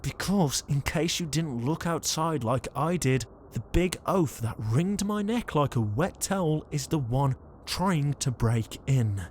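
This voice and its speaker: A man's voice: fairly high pitch, animated, measured pace, slight background noise. A man playing an exasperated, conscientious ghost in a comedic audio roleplay, flustered, hesitant and pleading. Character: exasperated, conscientious male ghost, murdered shut-in.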